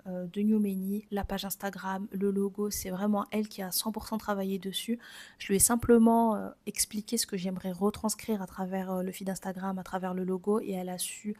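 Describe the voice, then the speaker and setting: Woman, twenties, French accent from France, dark mellow tone, medium pitch, informal reflective podcast host. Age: 20s. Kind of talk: personal reflective podcast monologue.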